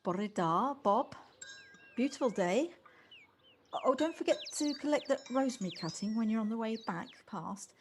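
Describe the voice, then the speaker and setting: A woman's voice: on the high side, expressive, normal speed. A woman acting a kindly, hesitant city visitor in an audio play, politely stumbling over her first words. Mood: friendly. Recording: amateur home recording with background noise.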